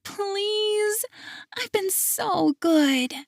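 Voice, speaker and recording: A woman voicing a pleading four-year-old girl who begs in a high, whiny voice. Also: animated, extremely slow, gravelly, dry recording, studio quality, exaggerated voice.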